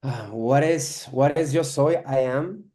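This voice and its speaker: A man's voice: medium pitch, slow pace, mellow. A young man born in Spain, a filmmaker, talking in a rambling way full of "you know" and "like" in an online interview. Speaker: filmmaker guest.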